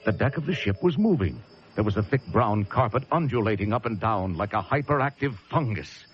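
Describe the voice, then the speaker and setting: An actor playing a wry, world-weary American lighthouse keeper, narrating a horror tale with deliberate, dramatic delivery. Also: male voice, lowish voice, expressive, close to an everyday voice. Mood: grim, suspenseful. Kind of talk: first-person radio drama narration. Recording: muffled radio drama recording with music.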